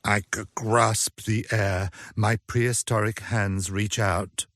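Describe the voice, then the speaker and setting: An actor playing an ancient, lonely male vampire who stammers in a slow, hushed, menacing way, in a radio drama. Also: fairly low pitch, little pitch movement, no echo, clean studio sound. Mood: brooding.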